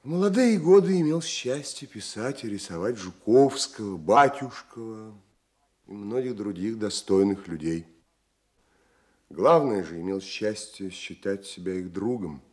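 A man's voice: on the low side, lively intonation, unhurried, darkish tone. An actor playing a proud, world-weary male painter with a brooding manner, in a Soviet radio drama.

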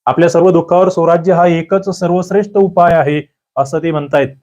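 A man teaching an exam prep lecture, quizzing listeners with lively rhetorical questions. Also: a little high, fairly quick. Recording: dull lo-fi audio.

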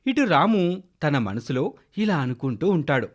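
A man's voice: on the high side, big pitch swings, unhurried. A man narrating a scripted children's moral story.

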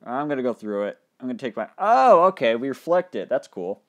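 A young man hosting a casual game commentary, thinking aloud and breaking into loud excited outbursts, his mouth dry and his voice getting tired. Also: mid-range voice, brisk, highs cut off. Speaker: gaming channel host. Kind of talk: casual let's play commentary.

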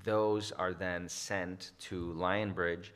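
A man's voice: low-pitched, flat delivery, very slow, fairly bright tone, clear, resonant tone. A man presenting at a conference, answering audience questions with many restarts and fillers. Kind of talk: Q&A after a conference talk.

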